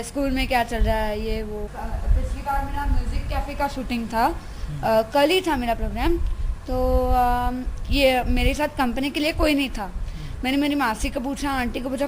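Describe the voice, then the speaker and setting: A young woman student chatting casually about her everyday life in an interview. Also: high voice. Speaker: interviewee, music student and singer. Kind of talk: casual chat about friends. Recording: noisy location with ambient sound.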